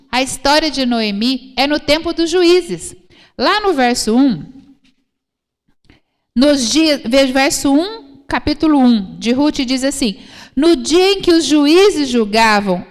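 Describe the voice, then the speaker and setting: A woman with a Brazilian Portuguese accent preaching a church sermon, explaining scripture didactically and repeating phrases for emphasis. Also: sits high. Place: church hall, speaking to a congregation. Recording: reverberant hall sound.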